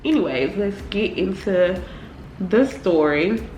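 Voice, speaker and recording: A woman's voice: medium pitch, expressive, slow pace, a little mellow, roomy sound, slight background noise, low-quality recording. A young woman chatting casually to her viewers in informal slang, sounding congested from a cold. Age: young adult. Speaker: beauty vlogger. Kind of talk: casual chatty get-ready-with-me talk.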